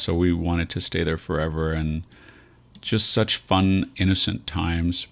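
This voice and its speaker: A man with an American accent and a low-set voice talks casually as a vlog host. His delivery is fairly monotone and rambling, with a folksy, nostalgic way of addressing his viewers. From San Antonio, Texas, USA. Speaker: vlog host and real estate agent. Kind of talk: casual reminiscing walk-and-talk vlog.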